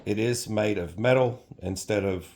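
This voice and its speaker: Middle-aged man, low voice, informal reviewer who rambles and corrects himself as he goes. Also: moderate pace, heavy background noise. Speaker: product reviewer. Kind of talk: informal product review, talking off the cuff.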